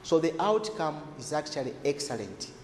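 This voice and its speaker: A man speaking as a health expert, explaining in a deliberate, emphatic way that repeats key words. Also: a little high, big pitch swings. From Zambia. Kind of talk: explanatory interview talk. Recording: echoey, reverberant room with background noise.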